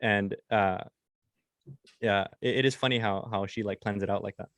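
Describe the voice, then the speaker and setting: A man's voice: fairly low pitch, fairly even intonation, moderate pace, fairly bright tone, dry recording, clean studio sound. A man in his twenties, a dog-trainer podcast co-host, chatting casually and rambling with lots of restarts and fillers. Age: 20s.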